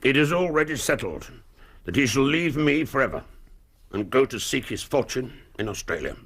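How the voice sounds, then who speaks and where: An actor playing a proud, guilt-ridden older duke in a radio drama, gravelly and husky, speaking in halting, formal phrases. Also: male voice, mid-range voice, sing-song, a bit bright. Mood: grave, resigned. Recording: radio drama studio recording.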